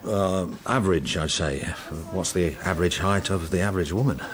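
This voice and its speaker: Low-voiced, halting oblivious husband, played by an actor. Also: male voice, lightly acted. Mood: evasive, flustered. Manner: halting, literal, deadpan. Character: evasive, oblivious middle-aged husband, flat and bemused. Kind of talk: radio drama dialogue.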